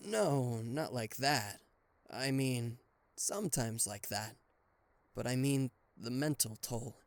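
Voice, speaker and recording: A man playing a charismatic, flustered king of hell as a boyfriend in an ASMR roleplay audio drama, with a theatrical, halting delivery. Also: medium pitch, dry recording. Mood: tender, teasing. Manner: theatrical, hesitant stammering, exasperated sighs.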